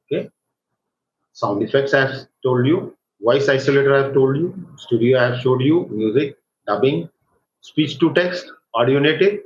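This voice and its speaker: A man with an Indian accent gives an informal live software tutorial as an online instructor, speaking in a halting, hesitant way. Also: medium pitch, animated. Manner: halting, hesitant delivery with repeated check words. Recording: roomy echo, dull lo-fi microphone, faint noise.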